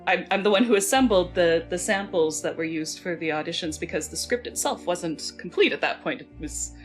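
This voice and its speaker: Woman w a slightly low voice, casual and jokey telling stories, faint music in the back. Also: lively intonation, fairly quick. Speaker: game writer, panel guest. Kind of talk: casual chat, telling anecdotes.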